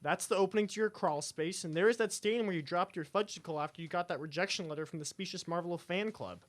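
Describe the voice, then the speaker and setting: A man's voice: high voice, quick pace, lightly acted. A young man playing an unimpressed, dismissive teenage boy sidekick in a comedic radio serial. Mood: skeptical. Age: young adult.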